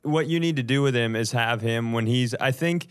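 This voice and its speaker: A man's voice: medium pitch, moderate pace, clean tone. A man co-hosting a comedy podcast, bantering casually in a wry, riffing way.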